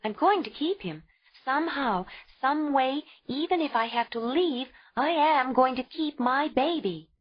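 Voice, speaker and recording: A woman's voice: high-pitched, lively intonation, unhurried, hardly any pauses, voice pushed up. A woman voicing a fierce young cave woman, a new mother pleading tearfully and refusing to give up her baby. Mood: determined. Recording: dull lo-fi audio.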